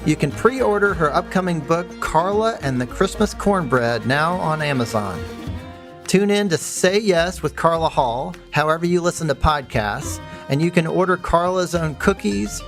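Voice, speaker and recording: Podcast host guy, polite and measured, slightly high voice, music playing behind. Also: animated. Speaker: podcast host and magazine editor. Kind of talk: interview and scripted outro.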